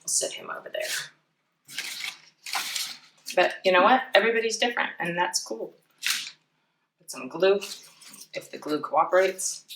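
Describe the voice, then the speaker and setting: A woman with a slightly low voice walks children through a craft in a chatty, playful way, tossing in self-deprecating asides. She is heard at a distance from the microphone in an echoey room. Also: lively intonation, unhurried, long pauses, fairly bright tone. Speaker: children's library storytime presenter. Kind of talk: casual craft demonstration for children. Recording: roomy, distant mic, clear background noise.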